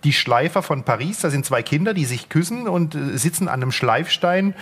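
A man's voice: on the high side, animated, a little fast, a bit bright. A man co-hosting a casual podcast and handling the records. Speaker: co-host who plays records. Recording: faint background noise.

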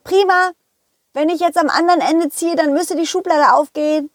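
A woman's voice: very high voice, steady tone, moderate pace, dry recording, clean studio sound, pitched above the performer's own voice. A woman voicing a loyal, confident, encouraging male dog who talks kindly to friends in a children's audio play. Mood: encouraging, excited. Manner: encouraging, addresses listeners and companions warmly. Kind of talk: children's audio play, told in first person.

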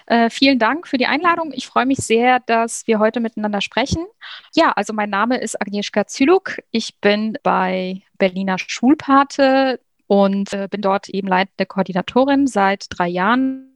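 A woman's voice: fairly high pitch, moderate pace, no echo. A woman guest in an interview, talking about her work with frequent fillers and small laughs. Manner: hesitant, many fillers. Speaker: guest, program coordinator. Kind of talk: interview answers.

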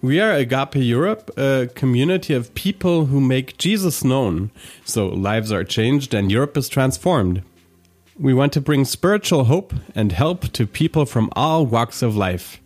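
A man's voice: mid-range voice, expressive, a little slow, darkish tone. A man hosting a ministry podcast, a native German speaker who reads an upbeat introduction and then chats with his guest. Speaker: podcast host. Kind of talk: scripted intro then interview chat.

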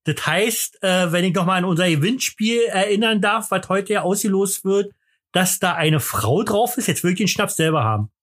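High-voiced rambling man, tipsy. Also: expressive, moderate pace, a bit bright. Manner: rambling, joking, drinking during the show. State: a bit tipsy. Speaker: podcast co-host. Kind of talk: boozy casual podcast banter.

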